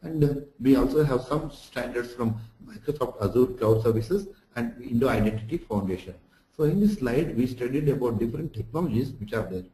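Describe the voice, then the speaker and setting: Male online-course lecturer, medium pitch, dark relaxed timbre, didactic delivery with examples and recaps. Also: a little fast. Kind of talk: slide lecture for an online lesson. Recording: echoey room sound.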